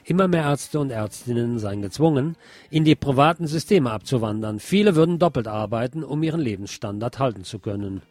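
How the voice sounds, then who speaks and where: A man presenting scripted news items in a measured, enumerating way, as a radio presenter. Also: mid-range voice, lively intonation, darkish tone.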